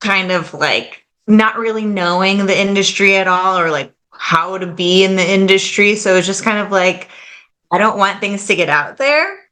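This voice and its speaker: Bright-voiced chatty woman in her late twenties. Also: mid-range voice, moderate pace. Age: late 20s. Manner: chatty, fillers like "like" and "kind of", laughs easily. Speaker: podcast guest. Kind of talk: casual interview chat.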